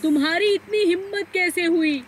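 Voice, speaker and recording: Woman voicing a high, plaintive parent crow. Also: moderate pace, recorded from a distance, a little hiss or hum. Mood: angry, accusing. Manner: plaintive, accusing. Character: wronged parent crow, suspicious and indignant.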